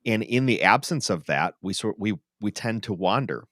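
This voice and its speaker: A man with a fairly low voice hosts a casual talk podcast. He is affirming and reflective, often checking in as he makes a point. The recording is dry and free of room echo. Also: lively intonation, moderate pace, a bit bright. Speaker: podcast host. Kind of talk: casual, thoughtful conversational commentary. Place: online video call for a livestream podcast.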